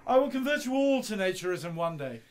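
An actor playing an idealistic, stubborn young husband and poet in a radio play, breezy and insistent. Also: male voice, high voice, big pitch swings, a little fast, darkish tone.

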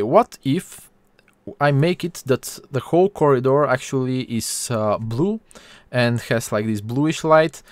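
A man in his thirties with a Bulgarian accent, giving an informal live tutorial in a halting, hesitant way with frequent pauses. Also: mid-range voice, clean tone, dry recording. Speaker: 3D artist hosting a stream.

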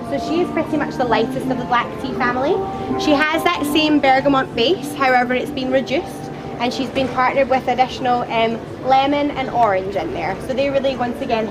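A young woman leads a tour group through an outdoor garden, her voice high and bright as she talks loudly to the people around her. She is chatty and playful, and she is picked up by a camera a few steps away. Music plays clearly in the background. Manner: loud, lively and chatty, with playful jokes. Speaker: tour guide. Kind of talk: guided tour talk to a group. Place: outdoor garden at a theme park. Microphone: camera a few steps away, no microphone.

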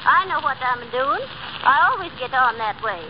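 A woman's voice: high-pitched, expressive, moderate pace. An actress playing a cheeky, brash old lady passenger with folksy talk in a 1930s radio comedy. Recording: muffled, noisy 1930s radio recording.

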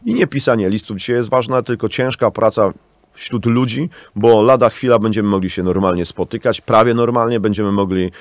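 A man in his thirties argues his case as a radio interview guest, leaning on hesitant fillers and polite, formal address to the host. His voice sits fairly low. The studio sound is close and dry, with no room echo. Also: steady tone, a little fast. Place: small radio studio with acoustic panels. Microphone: studio microphone on arm.

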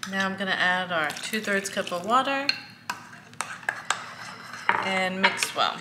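A woman's voice: medium pitch, expressive. A woman in her thirties hosting a home cooking video, walking through a recipe step by step in a casual way with a few fillers. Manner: slow, step-by-step instructions with fillers. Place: home kitchen, indoors. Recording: echoey kitchen with faint noise.

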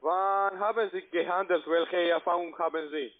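A man delivering a scripted trading sales pitch in stilted, non-native phrasing. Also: fairly even intonation, normal speed. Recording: narrow-band phone line.

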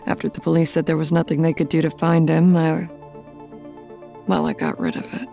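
A woman's voice: deep voice, level tone, moderate pace, creaky, warm tone, faint music behind. A woman playing a controlling, bitter middle-aged mother in an audio drama, haughty, clipped and evasive. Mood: guarded, disdainful.

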